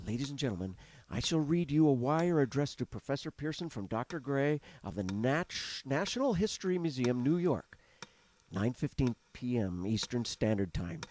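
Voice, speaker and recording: An actor playing an earnest radio news reporter in a 1930s-style radio drama, speaking in a formal, dramatic announcer manner. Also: male voice, mid-range voice, big pitch swings, moderate pace, a little mellow, lightly acted. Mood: formal, measured. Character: earnest male radio news reporter interviewing on live air. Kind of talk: 1930s radio acting, live news commentary. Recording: noisy old radio recording with clicks.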